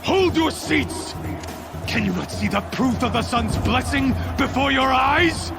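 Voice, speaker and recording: High, gravelly fanatical priest. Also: male voice, animated, a little slow, exaggerated voice. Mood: fanatical fervor. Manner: declamatory, grandiose, fervent. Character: fanatical male priest rallying the faithful. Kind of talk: video game voice acting, oratory. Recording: loud music and crowd behind.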